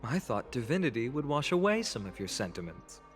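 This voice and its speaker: A man voicing a young video game hero who seems carefree but is earnest and wistful, teasing lightly and pausing in thought. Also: mid-range voice, very animated, natural voice. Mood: gently teasing. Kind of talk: video game voice acting, dramatic dialogue. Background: background music.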